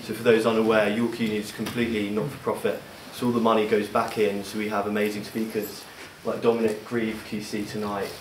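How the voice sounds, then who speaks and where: A man's voice: lowish voice, level tone, a little fast, few pauses. Young man introducing, distant and noisy. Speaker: student society host. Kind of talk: informal event introduction. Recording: echoey room, distant mic, clear noise.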